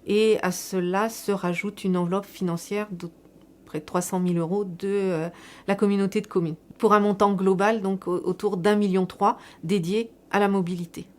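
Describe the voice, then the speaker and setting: A woman with a mid-range voice speaks as a local official in an interview. She explains things in a measured, semi-prepared way, repeating words to stress her points. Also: mid-range voice, steady tone. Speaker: local elected official presenting a public project.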